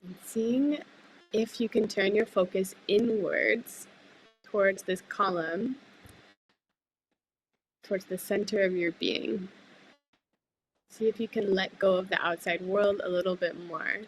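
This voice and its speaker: A woman leading a guided breathwork meditation, speaking gently and soothingly with unhurried pauses. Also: mid-range voice, a little hiss or hum, low-quality recording. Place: live online group call.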